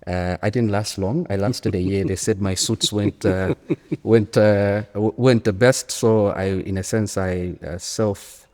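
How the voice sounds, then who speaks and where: A man's voice: on the low side, steady tone, a little slow, a little mellow. A man in his early forties with a Zambian accent, giving reflective, emphatic interview answers as an academic and often repeating phrases. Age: early 40s. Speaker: interview guest, economics academic. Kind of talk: conversational interview answer, storytelling. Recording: echoey hall with long reverb.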